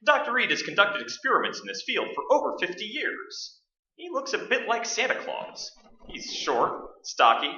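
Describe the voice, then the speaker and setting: Jaunty high-pitched radio ghost hunter played by a man. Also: very animated, moderate pace, sharp tone, full character voice. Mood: upbeat, showy. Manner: showy, breezy announcer patter. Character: jaunty, chatty male radio ghost-hunting host. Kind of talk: staged radio play acting. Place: indoor stage, live performance. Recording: roomy, distant, dull stage recording.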